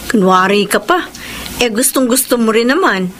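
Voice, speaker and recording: Actress as brazen mistress, animated high voice. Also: female voice, moderate pace, noisy background, lightly acted. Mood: knowing, resigned. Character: brazen, teasing mistress of a married man. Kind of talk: Filipino radio drama acting.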